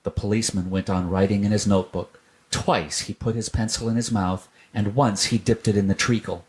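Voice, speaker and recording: A man's voice: fairly low pitch, steady tone, fairly quick. A man narrating a children's story as a scripted storyteller.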